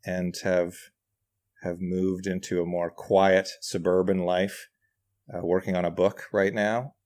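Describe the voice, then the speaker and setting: A middle-aged man with a deep voice speaks as a guest in a relaxed conversation. He is self-deprecating and hesitant, often circling back as he tells a personal story. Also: measured pace. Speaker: podcast guest, writer and comedian. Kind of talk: casual conversational anecdotes.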